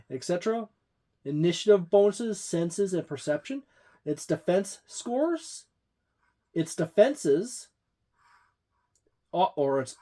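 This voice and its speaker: Slow, hesitant tabletop game reviewer. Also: mid-range voice, mellow. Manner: hesitant, frequent fillers and restarts. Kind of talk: book overview and review.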